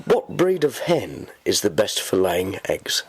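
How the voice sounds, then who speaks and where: A man's voice: low voice, wildly swooping pitch, measured pace, husky. A man, reading scripted links and questions as a radio presenter.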